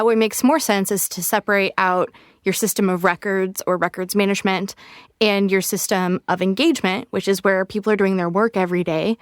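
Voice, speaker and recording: A woman who is a guest on a podcast, explaining things clearly and fluently. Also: medium pitch, no room sound, clean studio sound. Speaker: podcast guest, product evangelist. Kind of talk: conversational podcast interview.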